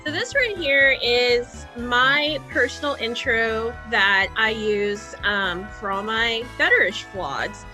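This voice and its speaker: A woman's voice: fairly high pitch, takes it slowly, few pauses, music in the background, rough recording. A woman hosting a video editing tutorial in a casual, chatty, informal way.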